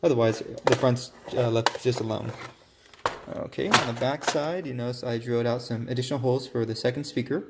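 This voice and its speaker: An American man giving a casual DIY demonstration of a hobby project, speaking in a hesitant, halting, informal way. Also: medium pitch, steady tone, heavy background noise. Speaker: hobbyist maker presenting a project.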